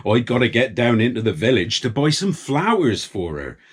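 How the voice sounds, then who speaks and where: A man's voice: mid-range voice, sing-song, moderate pace, slightly rough. A man voicing a grumbling farmer in a children's story, whining and sulky as he complains. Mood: complaining. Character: grumbling, whiny farmer who hates dressing up.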